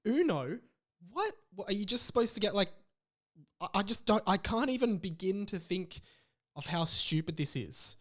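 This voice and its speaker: A man's voice: high-pitched, very animated, normal speed. An Australian man hosting a solo podcast in a casual, rambling and informal way, with exasperated asides. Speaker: podcast host.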